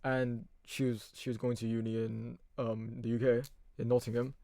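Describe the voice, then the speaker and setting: A man's voice: medium pitch, level tone, normal speed, dark tone, no room sound, studio quality. Hesitant young man, American-leaning accent. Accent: American-leaning international school. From Hong Kong. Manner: hesitant, many restarts. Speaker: podcast guest. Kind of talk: casual chat.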